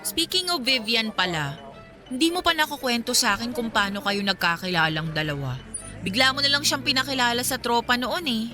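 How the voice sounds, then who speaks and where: A woman's voice: on the high side, lively intonation, fairly quick, bright, forceful tone, faint music behind. An actress playing a friendly, teasing young woman chatting with her best friend in a Filipino radio drama. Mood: chatty, curious.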